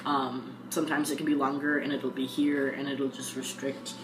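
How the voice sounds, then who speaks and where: A young man talking casually in a video diary, pausing often and filling gaps with "um". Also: mid-range voice, little pitch movement, background noise. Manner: halting, frequent ums. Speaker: vlogger. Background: guitar music.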